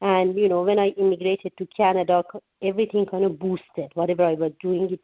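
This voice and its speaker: A woman's voice: fairly low pitch, level tone, quick pace, dry recording. A woman in her forties from Iran, a guest author answering radio interview questions earnestly, with frequent restarts. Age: 40s. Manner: earnest, many restarts and fillers. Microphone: phone line. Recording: dull lo-fi phone-line sound.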